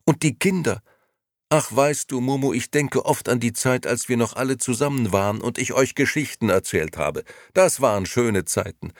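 A man voicing an excitable, talkative grown man who rushes out a breathless stream of words. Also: medium pitch, dry recording, studio quality, pitched above the performer's own voice, natural voice. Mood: eager rushing.

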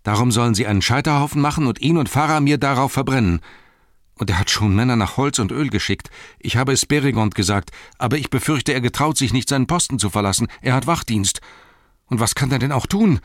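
A man voicing a frightened, earnest young halfling in a fantasy audiobook, pleading in a breathless, hurried way. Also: medium pitch, very animated, no echo, clean studio sound, voice pushed up. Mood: frantic pleading. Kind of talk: fantasy audiobook character reading.

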